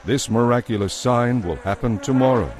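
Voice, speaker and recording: A man narrating a dramatized audio Bible as a solemn, measured storyteller. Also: mid-range voice, slight background noise.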